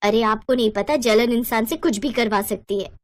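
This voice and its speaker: A high-pitched, sharp-tongued younger sister-in-law played by a woman storyteller from a family bedtime story. She is jealous and argumentative, always indignant and ready to accuse. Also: normal speed, close to an everyday voice. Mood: bitter.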